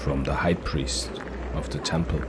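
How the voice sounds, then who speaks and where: A man playing a wry, principled male relic hunter in a dark fantasy audio drama. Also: very deep voice, fairly even intonation, moderate pace, heavy background noise. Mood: wry, half amused. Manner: muttering, absent, earnest.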